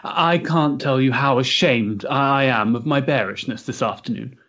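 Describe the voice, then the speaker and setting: An actor playing an earnest, devoted young Victorian gentleman in a period stage drama, courteous and solicitous. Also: mid-range voice, moderate pace, lo-fi sound, natural voice. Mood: apologetic, courteous.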